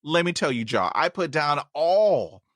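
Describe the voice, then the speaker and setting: A man hosts a casual podcast chat and tells his own story at a very slow, unhurried pace. He stutters, repeating sounds and stalling on words. The sound is dry and close, with no room echo. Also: on the high side, very animated, a bit bright. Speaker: podcast host.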